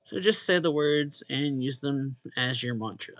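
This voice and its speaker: A man's voice: mid-range voice, a little slow, fairly bright tone, no room sound. A man reading a visual novel's narration and dialogue aloud expressively, as a let's play host. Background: game music.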